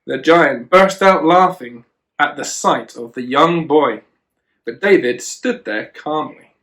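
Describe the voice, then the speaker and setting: A man's voice: on the high side, expressive, slow pace, a bit bright. A man reading a children's storybook aloud, with chatty asides to a child. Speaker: children and youth worker, storyteller.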